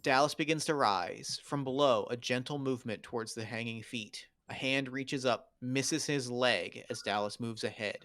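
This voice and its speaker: A man reads out screenplay stage directions as the narrator of a table read. His pitch sits in the middle of the male range, and the sound is dry, with no room around it. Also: moderate pace, few pauses, a bit bright, no echo. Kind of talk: scripted narration of screenplay action lines.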